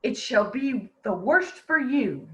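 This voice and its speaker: A woman's voice: a little high, lively intonation, slow pace, bright, forceful tone, full character voice. A woman voicing an angry, commanding old witch in a fairy tale reading. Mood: threatening. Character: angry, bargaining old witch, merciless and possessive.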